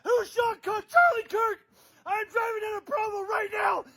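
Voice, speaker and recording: Sobbing, shouting woman with a very high voice. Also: fairly even intonation, normal speed, very noisy. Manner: crying while shouting, swearing. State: crying. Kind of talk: tearful angry rant to camera.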